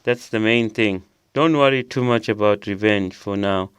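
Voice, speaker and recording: An actor playing a gentle, reassuring older uncle in an audio drama. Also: male voice, lowish voice, moderate pace, clean tone, no echo, natural voice. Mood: concerned, kindly. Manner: calm, reassuring.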